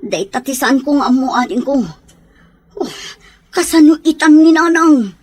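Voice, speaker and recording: A woman's voice: high-pitched, expressive, unhurried, darkish tone. A woman playing a concerned, protective elder-sister figure who cautions others in an audio drama. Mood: worried. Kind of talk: Ilocano audio drama acting.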